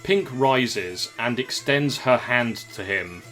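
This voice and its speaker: Male story narrator over soft music. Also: mid-range voice, expressive, slow pace, poor audio.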